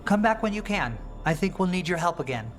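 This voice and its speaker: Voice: medium pitch, big pitch swings, a little fast, close to an everyday voice. An actor playing a poised, wry aristocrat and rebel leader in a fantasy video game, urbane and formal. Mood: composed. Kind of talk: dramatic video game acting. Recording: faint music behind.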